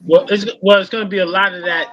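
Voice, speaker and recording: A man's voice: sits high, little pitch movement, quick pace, highs cut off, noisy background. A man in his forties from Queens chatting as a guest on a video call. He is easygoing and keeps adding "you know what I mean" to his sentences. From Queens, New York. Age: 40s. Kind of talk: casual chat.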